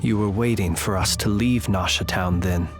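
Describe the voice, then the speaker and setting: An actor playing a composed, polite adventurer in a video game, questioning in a measured, dryly wry way. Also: male voice, low voice, over loud music. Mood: calm, probing. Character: composed, courteous, probing male adventurer facing a villain. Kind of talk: video game character acting.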